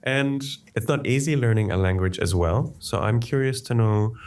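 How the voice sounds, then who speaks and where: A man's voice: medium pitch, normal speed, a little mellow. A man hosting a podcast, asking upbeat, brief questions. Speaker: podcast host. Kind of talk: podcast interviewing.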